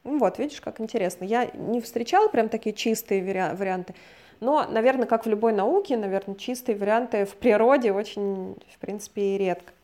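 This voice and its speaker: Measured woman explaining like a lecturer. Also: medium pitch, mellow. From Russia. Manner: measured, lecturing, hedges often with "let's say". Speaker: guest expert on migration. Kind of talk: explanatory conversation.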